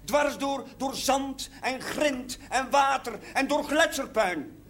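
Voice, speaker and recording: A jovial middle-aged man making a celebratory speech in a television drama. His voice is high, and he tells anecdotes with rhetorical swells. The old soundtrack carries faint noise. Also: slow pace, a bit bright, full character voice. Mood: rousing, proud.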